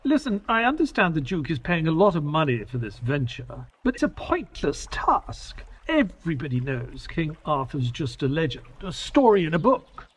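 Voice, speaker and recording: A high, husky-voiced man playing a skeptical detective's sidekick in an audio drama. He tells the tale expressively, with pitch that swings widely, and grumbles in exasperation. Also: big pitch swings, normal speed, hardly any pauses. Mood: exasperated. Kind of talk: audio drama narration and dialogue.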